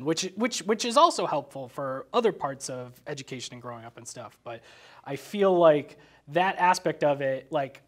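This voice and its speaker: A man in a casual film discussion, thinking aloud in a halting way as he goes. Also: on the high side.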